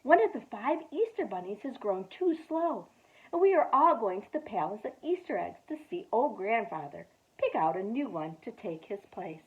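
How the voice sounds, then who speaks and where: A crowd of excited wood rabbits from a storybook, as a woman reader puts them on, spreading news with bouncy, rising pitch. The audio is narrow and phone-like. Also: moderate pace. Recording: tinny phone-like sound.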